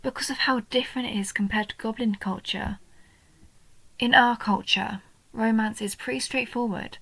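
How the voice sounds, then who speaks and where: A woman voicing a young female goblin in a roleplay audio, a nerdy, sweet and curious bookworm who talks earnestly and eagerly, sometimes softly breathy. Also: mid-range voice, no echo, low-quality recording. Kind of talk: roleplay audio, intimate one-sided scripted dialogue.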